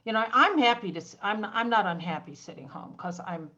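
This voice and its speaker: An older woman co-hosting a relaxed interview, chatting in an expressive, animated way. Her voice comes through with a dull, lo-fi sound. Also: mid-range voice, a little fast. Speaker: co-host. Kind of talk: casual interview chat. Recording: dull lo-fi audio.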